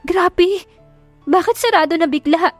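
A feisty young heroine in a romance audiobook, acted out by a woman narrator. Her voice sits very high and exclaims in a flustered, stammering way, recorded dry over faint music. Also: unhurried, no room sound. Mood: exasperated.

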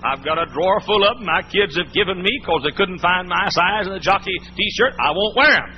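A man's voice: high voice, a little fast. A man preaching a sermon in a church, folksy and emphatic, repeating his points. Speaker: pastor. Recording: muffled old sermon tape, faint hiss.

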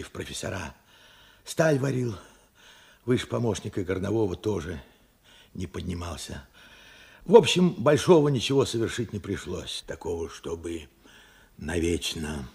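An actor in a radio play playing a weary, regretful old war veteran, hoarse and urgent in a halting delivery. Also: male voice, sits low, a little mellow, full character voice. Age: older. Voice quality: hoarse, husky, cracked. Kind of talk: Soviet radio play acting.

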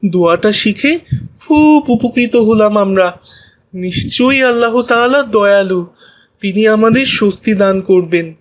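A woman's voice: mid-range voice, unhurried, natural voice. A woman voicing a friendly, devout village child in a children's cartoon, speaking politely and earnestly. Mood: grateful. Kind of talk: children's Islamic cartoon dialogue.

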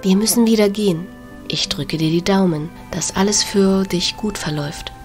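A woman playing a scheming goddess mother in an audio drama, speaking in a smooth, persuasive way. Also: mid-range voice, moderate pace, faint music behind, close to an everyday voice. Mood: sweet.

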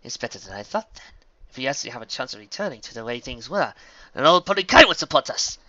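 A man's voice: medium pitch, big pitch swings, quick pace, highs cut off, a crowd in the background, close to an everyday voice. A man voicing an earnest, intense female pony army captain with a dramatic, ceremonious manner in a storybook reading. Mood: eager.

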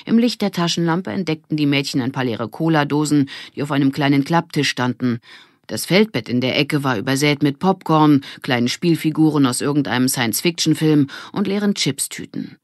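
Woman, low voice, audiobook narrator, children's story, expressive, dry sound. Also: brisk, no room sound.

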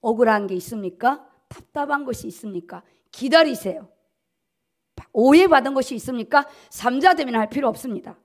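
A middle-aged woman delivers a church sermon as a pastor. Her voice sits on the high side, and she drives points home by repeating key words with emphasis. She speaks into podium microphones at a pulpit. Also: expressive, fairly quick. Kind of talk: sermon, preaching at a dawn service. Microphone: podium gooseneck microphones.

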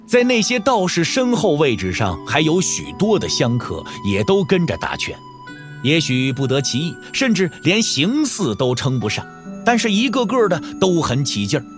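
A man narrating an audio drama from a script in an expressive storytelling manner. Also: fairly high pitch, normal speed, faint music behind.

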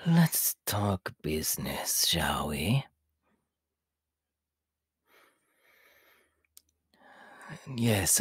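A man voicing a sinister, power-hungry immortal body-stealer in an ASMR roleplay, sly and menacingly polite, with a raspy, half-whispered hiss. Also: low voice, no echo, studio quality, heavily acted. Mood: scheming. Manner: slow, drawn-out, menacingly polite. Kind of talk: ASMR character roleplay monologue.

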